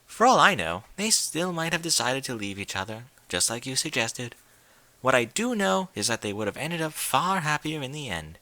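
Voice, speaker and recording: A man's voice: medium pitch, sing-song, moderate pace, sharp tone, no echo. A man voicing a refined, caring female pony for a story, with genteel, formal diction and theatrical French flourishes. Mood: calm, gentle.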